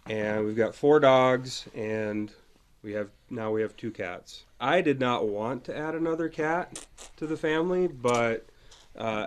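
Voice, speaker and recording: A man's voice: medium pitch, smooth. A man talking casually and unscripted as an interviewee in a news story. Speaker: pet owner interviewed for news.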